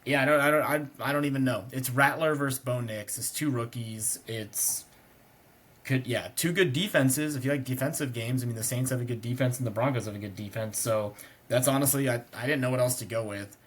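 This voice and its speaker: A man co-hosting a sports podcast, hoarse and congested, talking in a relaxed, rambling way. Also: medium pitch, fairly quick. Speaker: podcast co-host. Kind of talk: casual sports chat.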